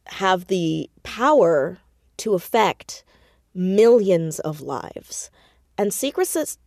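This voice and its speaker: A woman's voice: lowish voice, darkish tone, no echo, studio quality. An American woman giving an interview in a reflective, conversational way, speaking earnestly and emphatically. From United States, New Jersey suburb. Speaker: interview guest, audio drama creator.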